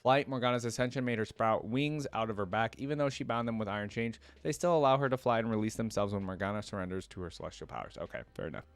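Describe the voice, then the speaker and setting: Low-voiced man, very dry close sound. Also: fast talker, hardly any pauses, no room sound. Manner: breaks off reading for informal commentary. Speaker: YouTube reaction host. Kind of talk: casual reaction, reading a wiki aloud with asides.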